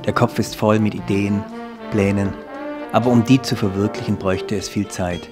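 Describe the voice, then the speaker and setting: Deep low narrator voice, calm scripted voice-over, trombone-ish music behind. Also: normal speed. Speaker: narrator of the feature.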